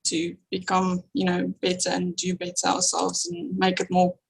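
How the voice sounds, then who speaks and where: South African woman, gravelly edge. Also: fairly low pitch, monotone, moderate pace, slight background noise, poor audio. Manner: warm, enthusiastic, laughs often. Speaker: podcast guest, entrepreneur. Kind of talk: casual interview chat.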